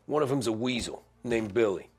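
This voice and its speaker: A man playing an easygoing, soft-hearted mobster in a video game. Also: medium pitch, fairly quick, soft background music, lightly acted. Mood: matter-of-fact. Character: easygoing, soft-hearted male mob driver. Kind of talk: dramatic video game cutscene acting.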